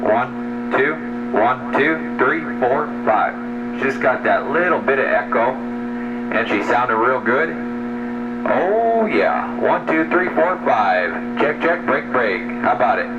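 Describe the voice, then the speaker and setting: A man doing a radio audio check in short, repeated, deliberate test calls, heard through a CB radio with echo. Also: medium pitch, dull audio. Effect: CB radio transmission with echo. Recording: band-limited echoing CB radio, static.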